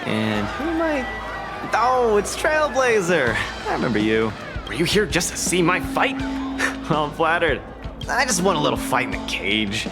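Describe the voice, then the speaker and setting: A young man's voice swings widely in pitch as a champion boxer in a video game. He is breezy, eager and friendly with a cocky streak, over clear background music. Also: wildly swooping pitch, measured pace, exaggerated voice. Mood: cheerful, flattered. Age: young adult. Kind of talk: video game dialogue acting.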